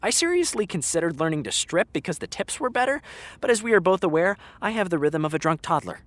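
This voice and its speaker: A man's voice: high voice, sing-song, fast, a bit bright, no room sound. A man playing a hurt, fiery actor fed up with an old friend, speaking emphatically and sarcastically in a naturalistic audio drama. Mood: bitter, wounded.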